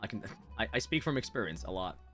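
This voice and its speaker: A man's voice: on the low side, sing-song, fast talker, fairly bright tone, faint music behind. A man giving casual live commentary on a game, playful and reactive, with muttered asides. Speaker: game streamer.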